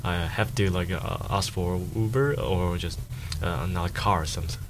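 Man, from China, native Chinese speaker, very deep voice, halting, filler words, noisy recording. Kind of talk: casual interview answers.

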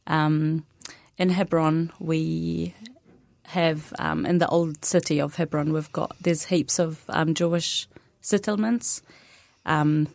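Palestinian woman, native arabic speaker, young adult, low voice, soft-spoken, many pauses, dry studio sound. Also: gravelly, dry recording. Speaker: interview guest. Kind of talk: radio interview conversation.

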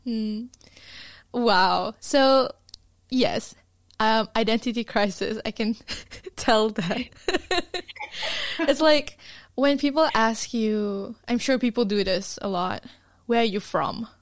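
Woman podcast host, unhurried, close dry mic, encouraging. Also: fairly high pitch, no echo. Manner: slow, encouraging, quick to laugh. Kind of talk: casual interview chat.

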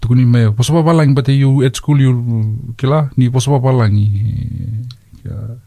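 A man with a Fijian accent hosting a community radio chat, halting with many fillers and slipping into Fijian phrases. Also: mid-range voice, fairly even intonation, warm tone, no echo. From Fiji. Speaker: radio host.

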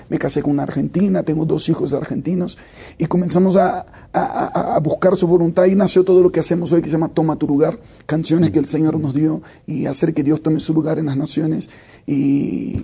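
A man's voice: on the high side, dark tone, breathy edge. A man, a worship leader answering interview questions reflectively and with frequent hesitations. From Brazil. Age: 30s.

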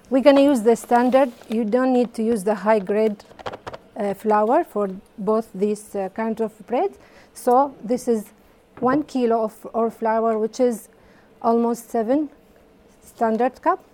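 A woman from Iraq leading a hands-on bread-making workshop, explaining steps in simple, halting English with fillers. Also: on the high side, steady tone, mellow. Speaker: baking workshop teacher. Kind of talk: hands-on cooking demonstration. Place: community hall kitchen.

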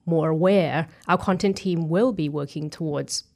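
Woman interviewee with a lowish voice. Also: moderate pace, darkish tone. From Thailand, from Bangkok. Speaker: interview guest, web developer at a software company. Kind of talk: casual interview answers.

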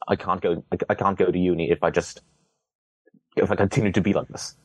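Deep-voiced young man, hesitant. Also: level tone, fairly quick, slightly rough, no room sound. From Hong Kong. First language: Cantonese. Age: young adult. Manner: hesitant, many restarts and fillers, wry humor. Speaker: interviewee, student. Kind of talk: casual interview answers.

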